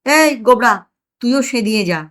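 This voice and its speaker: A woman's voice: fairly high pitch, moderate pace, a bit bright, natural voice. A woman voicing a blustering, scheming older family man in a comic audio story, exclaiming and sure of himself. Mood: bossy.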